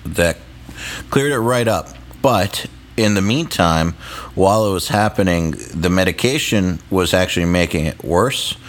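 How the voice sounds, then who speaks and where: A man's voice: low voice, measured pace. A man hosting a podcast in casual chat, rambling with lots of fillers and the odd swear. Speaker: podcast host.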